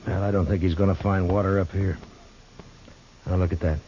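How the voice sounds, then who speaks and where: An actor playing a stern, weary American marshal in a 1950s radio western, terse and grim in dialogue and narration. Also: male voice, deep voice, little pitch movement, fairly quick, warm tone, slight background noise, natural voice. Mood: terse, watchful. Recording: muffled vintage radio recording with music.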